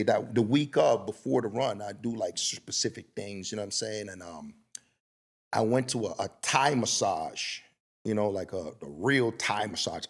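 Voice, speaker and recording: A middle-aged man with a gravelly, slightly husky voice hosts a podcast. He rambles through stories in a loose, casual way and keeps checking in with "you know what I mean.". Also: fairly low pitch. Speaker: podcast host. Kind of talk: casual conversational interview.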